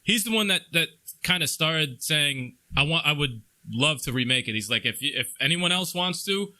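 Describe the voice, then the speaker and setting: Bright-voiced, slangy man in his thirties. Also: on the high side, lively intonation, normal speed. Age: late 30s. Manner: slangy, profane, banter. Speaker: gaming podcast host. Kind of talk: casual chat.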